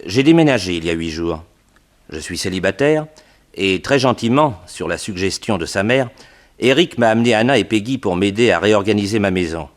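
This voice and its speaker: A courteous physician, acted by a man with a fairly low voice. He speaks in a measured way, in the style of a 1960s radio detective play. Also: animated, close to an everyday voice. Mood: measured, concerned. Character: measured, courteous bachelor doctor and researcher. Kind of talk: 1960s French radio detective drama acting.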